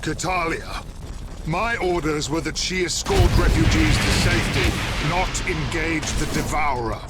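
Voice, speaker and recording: A man's voice: fairly high pitch, animated, a little slow. A man playing a proud, authoritative imperial lord commander, imperious and formal, in a sci-fi game. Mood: imperious, concerned. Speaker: game character. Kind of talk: dramatic sci-fi game acting. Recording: loud music with battle sounds behind.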